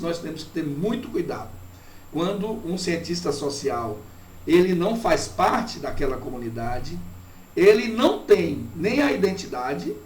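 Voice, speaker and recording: A man's voice: a little high, a little mellow. A man from Bahia with a Bahian Brazilian accent giving a didactic, reflective academic lecture, often checking in with short tag questions. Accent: Bahian Brazilian Portuguese. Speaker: university professor. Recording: roomy, distant mic with faint noise.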